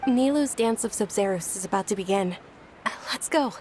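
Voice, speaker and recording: A woman playing a gentle, sickly, resigned young noblewoman in a video game, soft-spoken and polite. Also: medium pitch, brisk, slight background noise, lightly acted. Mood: calm. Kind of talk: video game character acting. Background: music.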